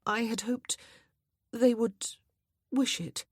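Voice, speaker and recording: A woman voicing a humble, earnest young woman teacher who speaks softly and politely. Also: on the high side, steady tone, takes it slowly, breathy edge, dry recording, clean studio sound, pitched above the performer's own voice. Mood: wistful.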